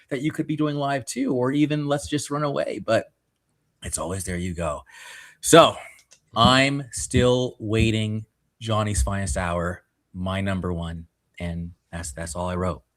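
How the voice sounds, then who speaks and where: A man co-hosting a podcast, ranting enthusiastically about favorite songs. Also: mid-range voice. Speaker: podcast co-host. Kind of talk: casual podcast chat.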